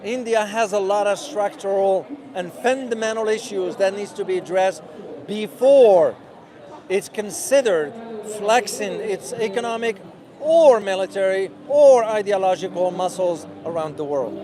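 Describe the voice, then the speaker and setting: A man vlogging as he walks a city street outdoors, talking loudly and passionately while answering viewers' questions. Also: high-pitched, measured pace. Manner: loud and passionate. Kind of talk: casual walking vlog answering live questions. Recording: crowded outdoor street noise. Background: street crowd.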